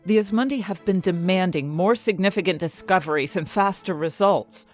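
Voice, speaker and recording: A woman's voice: on the low side, normal speed, lightly acted. A woman playing an ambitious, indignant fantasy inventor, narrating her own story expressively and articulately in an audio drama. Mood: indignant, boastful. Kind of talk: first-person dramatic audio fiction narration. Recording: music bed behind the voice.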